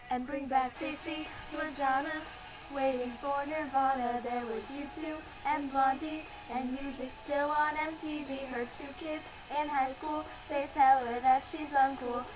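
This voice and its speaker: A woman's voice: high-pitched, fairly even intonation, a little slow. A young woman singing along to pop-rock in an amateur, playful way at home. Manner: playful, giggly. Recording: dull lo-fi home recording with loud music. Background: pop-rock song playing.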